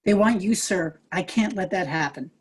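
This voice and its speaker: A woman's voice: lowish voice, normal speed, a little mellow, husky. A woman reading the part of a loyal starship crew officer making urgent reports. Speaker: actor in a table read.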